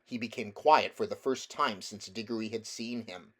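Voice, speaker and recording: A man's voice: on the low side, moderate pace. A man reading a children's fantasy novel aloud as scripted storybook narration. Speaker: amateur audiobook reader.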